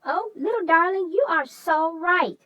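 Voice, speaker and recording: A woman's voice: extremely high pitch, lively intonation, unhurried, no room sound, highs cut off. A woman voicing a chatty, upbeat young sidekick character in a children's read-aloud, chirpy and agreeable. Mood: cheerful, chatty. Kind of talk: scripted chat after a children's read-aloud.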